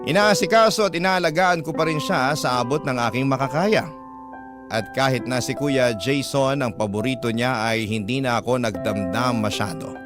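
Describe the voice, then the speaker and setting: A man reading a listener's letter as a radio storyteller, in plaintive, dramatic first-person narration. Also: mid-range voice. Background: prominent background music.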